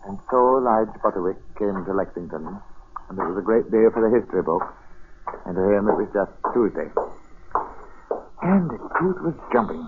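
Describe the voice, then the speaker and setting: A man's voice: lowish voice, lively intonation, normal speed, pauses often, noisy background. A man narrating a 1940s radio drama as a folksy, dramatic storyteller. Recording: muffled vintage radio broadcast with music.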